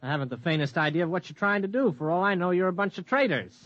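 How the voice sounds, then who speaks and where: An actor playing a skeptical, independent space pilot in a 1950s radio drama, with a blunt, plain-spoken, hard-boiled delivery. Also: male voice, sits high, animated, quick pace, fairly bright tone, no room sound. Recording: dull lo-fi vintage radio recording.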